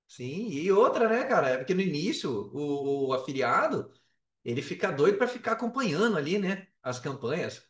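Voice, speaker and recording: A man with a Brazilian accent in casual podcast chat, talking in a slangy, animated storytelling way. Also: fairly high pitch, moderate pace. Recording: roomy echo, distant from mic.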